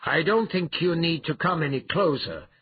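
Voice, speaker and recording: A man's voice: high-pitched, sing-song, a little slow, narrow, tinny audio, slight background noise, voice pushed up. A man voicing a wily adventurer thief in a fantasy storybook, urbane, mock-polite and taunting. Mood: mild taunting.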